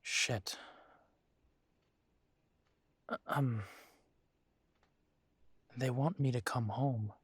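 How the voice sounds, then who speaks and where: A man playing a troubled, tender werewolf boyfriend in an intimate roleplay audio drama, talking haltingly with sighs. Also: medium pitch, slightly rough, dry recording. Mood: uneasy, somber.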